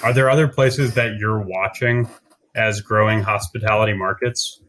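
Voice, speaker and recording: A man's voice: on the low side, steady tone, moderate pace, fairly bright tone. A man hosting a conversational podcast interview. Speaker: podcast host.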